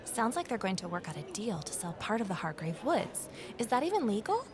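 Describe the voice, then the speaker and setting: A woman's voice: medium pitch, very animated, fairly quick, a crowd in the background, close to an everyday voice. A woman acting in an audio drama as an earnest, inquisitive amateur sleuth who also narrates her own story. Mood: curious. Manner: earnest, questioning. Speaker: lead character and narrator.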